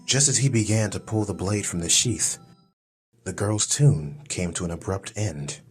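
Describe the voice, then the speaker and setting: A man's voice: sits low, moderate pace, breathy edge, soft background music. A man reading scripted fantasy audiobook narration as the storyteller.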